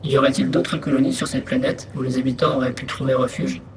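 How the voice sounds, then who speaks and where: A man playing a small, methodical exploration robot in a sci-fi audio drama, speaking in a measured, matter-of-fact way. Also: mid-range voice, flat delivery, noisy background, lightly acted. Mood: analytical, curious. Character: small exploration robot, methodical, dutiful scout reporting findings. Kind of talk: scripted sci-fi audio fiction monologue.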